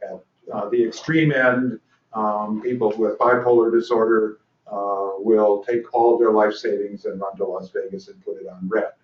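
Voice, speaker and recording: A man's voice: on the low side, steady tone, moderate pace. Older man lecturing in an echoey hall. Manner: dry humor, frequent fillers and restarts. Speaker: lecturer at a legal training. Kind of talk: conference lecture. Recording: roomy, distant, dull lecture recording.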